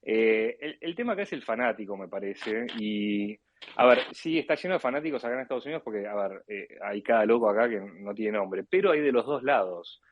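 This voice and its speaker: A man's voice: mid-range voice, expressive, fairly quick, dry recording. A man from Argentina with an Argentine accent speaks casually in a political discussion, often hesitating and rephrasing as he goes. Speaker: caller in a live audio discussion. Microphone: live online audio chat, close and dry. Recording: dull lo-fi online audio.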